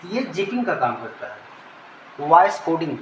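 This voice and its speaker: A man's voice: on the high side, sing-song, moderate pace. A man with an Indian accent giving an informal technical lesson, explaining and repeating key terms. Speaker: teacher. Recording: echoey room, background noise.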